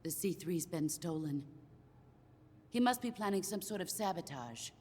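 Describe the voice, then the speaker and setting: An actress playing a stern, commanding woman soldier in a video game cutscene, measured and serious, in a long hall reverb. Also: on the low side, expressive, darkish tone, breathy edge. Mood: wary, resolute. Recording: long hall reverb, faint background noise.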